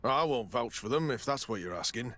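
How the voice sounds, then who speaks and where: An actor playing a troubled, candid garrison quartermaster in a video game, speaking formally and expressively. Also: male voice, medium pitch, lively intonation, a little fast, bright, forceful tone. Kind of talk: dramatic video game dialogue.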